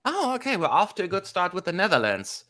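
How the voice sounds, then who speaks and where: A man hosting a casual online panel chat on a video call, talking in a lively way with lots of fillers, from a home room. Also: high voice, quick pace. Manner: lively, chatty, frequent "y'all" and fillers. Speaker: host. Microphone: studio mic on a video call.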